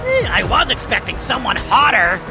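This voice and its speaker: Shrieky gravelly bloated alien tyrant. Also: male voice, very high-pitched, huge pitch swings, normal speed, over music, heavily acted, rough recording. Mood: menacing mockery. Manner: blustering, drawling, sneering showman delivery. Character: bloated, bombastic alien showbiz tyrant, bullying and gleefully crude. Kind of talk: audio drama acting.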